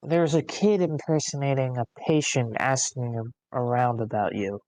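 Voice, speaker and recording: A man's voice: mid-range voice, steady tone, normal speed, a little mellow, dry recording, lightly acted, poor audio. Casting: man to play dutiful guard, late twenties, mid-range voice, very little room sound. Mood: dutiful, alert. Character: earnest twenty-eight-year-old security guard, all business. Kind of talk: screenplay table read.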